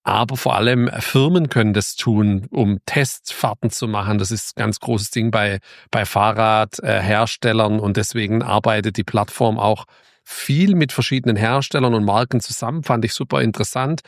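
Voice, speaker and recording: Chatty podcast host, gravelly voice. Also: male voice, fairly low pitch, normal speed, no echo, clean studio sound. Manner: chatty, informal, with frequent restarts. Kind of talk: casual podcast intro and interview.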